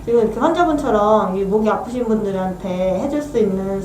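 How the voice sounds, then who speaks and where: A woman's voice: medium pitch, lively intonation, quick pace, darkish tone, roomy sound, slight background noise, low-quality recording. A woman who is also a patient, asking curious follow-up questions in a casual interview.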